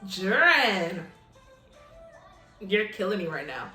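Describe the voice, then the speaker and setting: A woman's voice: medium pitch, big pitch swings, takes it slowly, bright, forceful tone. A woman hosting a casual reaction video, speaking in an effusive, giggly, gushing way as she comments. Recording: roomy echo, music playing behind. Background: pop music from the video being watched.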